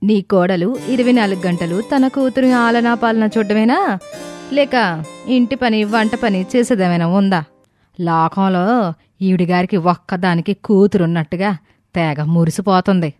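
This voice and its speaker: A woman's voice: mid-range voice, measured pace, a little mellow, dry recording, soft background music, natural voice. A woman playing a jealous, nagging in-law in a moral story, with a scornful, sarcastic delivery. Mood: sneering. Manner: sneering, sarcastic. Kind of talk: dramatized moral story acting.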